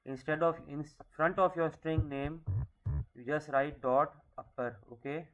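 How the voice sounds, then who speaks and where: A man's voice: a little high, fairly even intonation, measured pace, dull audio, faint music behind. Man in his thirties teaching code. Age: 30s. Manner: explains step by step, often checking with "okay?". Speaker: programming teacher. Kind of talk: screen-recorded coding lecture.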